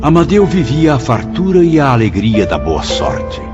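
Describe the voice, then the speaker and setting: A man's voice: mid-range voice, wildly swooping pitch, normal speed. A man narrating a radio drama in a solemn, scripted style. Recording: dull lo-fi sound under loud music.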